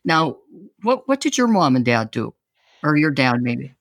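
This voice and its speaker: A woman's voice: rumbling low, big pitch swings, a little slow, no room sound, clean studio sound. Enthusiastic woman hosting an interview. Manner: enthusiastic, effusive. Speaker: host. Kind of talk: interview show.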